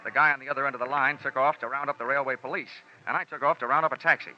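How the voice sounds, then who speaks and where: An American actor playing a tough, wisecracking insurance investigator with a wry, snappy delivery in an old radio detective drama. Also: male voice, mid-range voice, fairly even intonation, racing, faint music behind, lightly acted. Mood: wry narration. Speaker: lead character and narrator. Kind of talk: 1950s radio detective drama, hardboiled narration. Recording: dull vintage radio broadcast recording.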